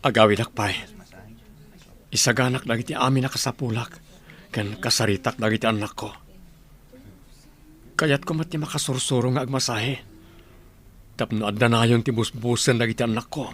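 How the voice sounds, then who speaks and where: A man playing a humble, determined middle-aged village father in an Ilocano radio drama, speaking earnestly and gently. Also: mid-range voice, normal speed, long pauses, natural voice.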